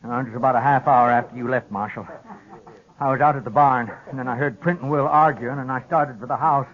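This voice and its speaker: A man's voice: medium pitch, fast, natural voice. An actor playing a stubborn, grim old rancher father in a 1950s radio western, blunt and commanding, with a rural Western American accent. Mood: grim, determined. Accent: rural American West. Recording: dull lo-fi old radio recording.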